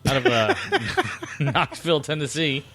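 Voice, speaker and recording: A man's voice: on the high side, normal speed, fairly bright tone, slight background noise. A man hosting a casual podcast, sarcastic and swearing freely, laughing as he talks. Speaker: podcast host. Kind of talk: casual profane podcast chat.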